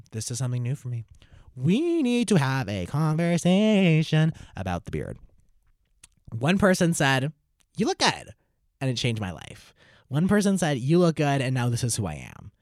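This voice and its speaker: A nineteen-year-old man hosting his own podcast, talking alone in an animated, theatrical way with sudden loud bursts of play-acting. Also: medium pitch, no echo, studio quality. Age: 19, nearly 20. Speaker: podcast host. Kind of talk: solo podcast monologue.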